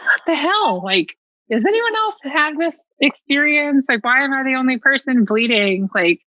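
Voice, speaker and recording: A woman's voice: high-pitched, lively intonation, normal speed, bright, forceful tone, dry recording, highs cut off. A middle-aged American woman telling personal stories as a podcast guest, casual and candid, with a sarcastic, self-mocking streak and a habit of acting out dialogue.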